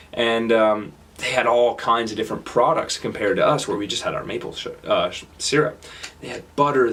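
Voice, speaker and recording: Young Canadian man, Canadian accent, lowish voice, loose stage talk full of ums and restarts. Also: fairly bright tone, a little hiss or hum. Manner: casual, halting, many fillers and restarts. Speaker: speaker. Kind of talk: public talk.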